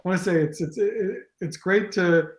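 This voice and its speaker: A man's voice: sits high, moderate pace. Chatty American man in an echoey room. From Israel. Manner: chatty, rambling, frequent fillers and restarts. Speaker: guest, team captain. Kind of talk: casual video-call interview.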